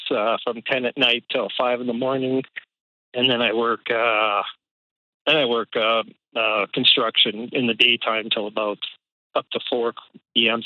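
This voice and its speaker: A man's voice: mid-range voice, no echo. A middle-aged man, calling in and telling his story hesitantly, with many fillers and nervous laughs. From Canada. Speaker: caller. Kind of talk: casual phone-in conversation. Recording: dull phone-call audio.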